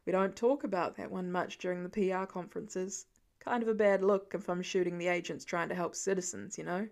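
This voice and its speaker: A woman voicing a weary, gentle middle-aged male archer with an earnest, reassuring, plain-spoken manner in an audiobook story. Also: on the low side, fairly even intonation, a little fast, pitched below the performer's own voice, lightly acted. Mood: steady, reassuring.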